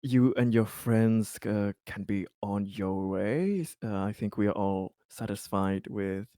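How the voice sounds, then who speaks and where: Low-voiced man at a roleplaying game. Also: warm tone, no echo, clean studio sound. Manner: halting, repeats words as he goes. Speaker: tabletop roleplaying player. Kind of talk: casual tabletop roleplaying talk.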